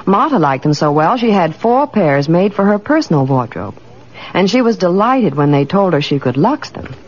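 A woman's voice: fairly low pitch, big pitch swings, moderate pace. A woman delivering scripted advertising dialogue in the style of 1940s radio. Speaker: commercial spokeswoman. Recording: muffled old radio recording.

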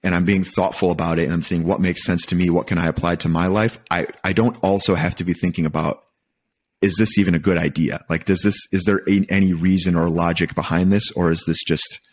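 A man from Michigan with a very deep voice speaks as an interview guest. He talks casually and thoughtfully, often stopping to rephrase a point as he works through it. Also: fairly even intonation. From Michigan, United States. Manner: thoughtful, halting, rephrases as he goes. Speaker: podcast guest. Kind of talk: casual reflective interview talk.

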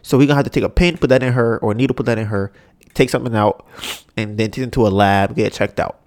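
A man's voice: fast talker, dry recording, studio quality. A young man hosting a podcast, ranting casually with a stuffy, nasal voice and lots of swearing. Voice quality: congested, nasal. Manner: animated, mocks others in high put-on voices, swears. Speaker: podcast host. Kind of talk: casual solo rant.

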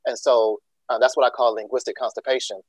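A man's voice: medium pitch, flat delivery, fairly quick, slightly rough, no room sound, rough recording. A man from Georgia, a school district leader answering interview questions with many ums and restarts. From Georgia, USA. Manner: many ums and uhs, restarts, earnest explaining.

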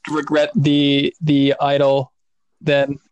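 A man's voice: fairly high pitch, completely flat delivery, slow, highs cut off. A man hosting a podcast, teasing his guest and laughing often in a casual chat. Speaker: podcast host.

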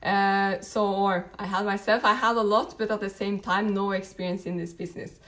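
A young woman talks loosely to her live-stream viewers. She leans on fillers and restarts often, and her pitch sits in an ordinary middle range for a woman. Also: fairly even intonation, moderate pace, a bit bright. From Norway. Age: late 20s. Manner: chatty, rambling, frequent fillers and restarts. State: a bit tired, just woken up. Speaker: network marketing team leader. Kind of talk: casual live-stream talk to an audience.

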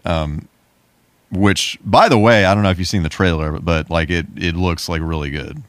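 Casual man with a low voice. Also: normal speed, a bit bright, dry recording. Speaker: podcast host. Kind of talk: casual podcast chat about movies.

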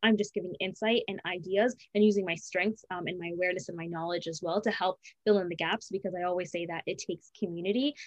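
A woman's voice: fairly low pitch, steady tone, dry recording, low-quality recording. A woman being interviewed, answering in an earnest, conversational way, often restarting and correcting herself. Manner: earnest, fast, with frequent restarts and self-corrections. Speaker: interviewee, student advisor.

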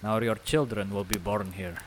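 A man's voice: fairly low pitch, fairly even intonation, moderate pace, slight background noise, natural voice. An actor playing a stern, proud village father in an audio drama, with terse, formal phrasing. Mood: guarded, solemn.

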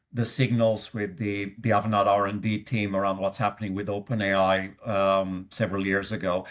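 A middle-aged man from Sweden with a Swedish accent, a guest answering interview questions in a hesitant way, with many fillers and restarts. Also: on the low side. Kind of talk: conversational interview.

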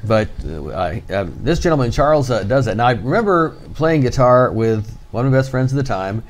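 A man's voice: mid-range voice, a little fast. Guy doing the news bit on morning tv, voice bounces up and down a lot, chatty, faint hum behind. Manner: lively, chatty storytelling. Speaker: news segment presenter. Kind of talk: casual news chat.

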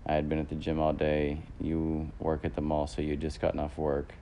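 A man's voice: rumbling low, monotone, fairly quick, dark tone, a little hiss or hum. A man in his thirties co-hosting a casual podcast with his partner, talking in a rambling way with many fillers and self-corrections. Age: 30s. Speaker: podcast co-host. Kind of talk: casual couple chat.

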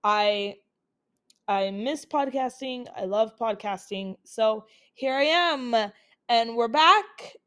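A woman's voice: a little high, slow pace, fairly bright tone. A young woman from Utah hosting a casual solo podcast on a laptop's built-in mic, chatty and upbeat with many fillers, her voice still recovering from colds. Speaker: podcast host.

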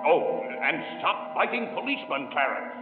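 A stern, authoritative angel of high rank, reproving in animated swings of pitch. The old radio broadcast is muffled and echoing, with music clearly behind him. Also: male voice, moderate pace. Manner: commanding, reproving. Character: stern, authoritative male senior angel in heaven. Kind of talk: old-time radio drama acting.

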